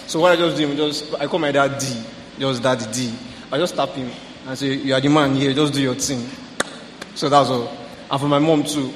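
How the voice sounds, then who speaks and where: A young man sharing about his family in a relaxed, halting way with fillers. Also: mid-range voice, background noise. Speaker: panel participant. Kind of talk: casual sharing. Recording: echoey hall with faint ambience.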